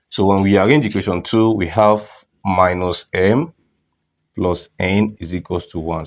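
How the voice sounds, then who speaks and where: A man with a cold teaching a maths lesson, explaining step by step and putting questions to the students. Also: low voice. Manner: repeats words and restarts phrases. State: has a cold.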